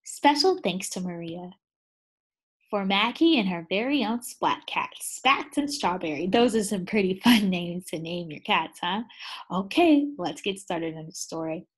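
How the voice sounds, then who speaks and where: A woman teacher reading a picture book aloud to second graders. She is animated and keeps asking the children questions. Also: medium pitch, measured pace, breathy edge. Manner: animated, asks the class many questions. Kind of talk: read-aloud lesson for children.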